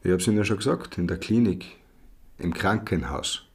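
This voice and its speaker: Police inspector, radio play, very low voice, husky, quiet, patient, measured. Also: male voice. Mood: gentle, grave. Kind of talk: radio drama acting.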